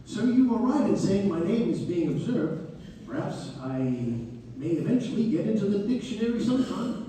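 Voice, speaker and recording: Guy acting as a proud fussy young showman writing a letter, very soft mellow tone, big echoey stage hall. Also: high-pitched, expressive, moderate pace. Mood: proud, cheerful. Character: Harry Houdini, proud self-promoting young escape artist, fussing over spelling. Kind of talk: theatrical dramatic read-through of a letter. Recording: distant mic in echoing hall, faint noise.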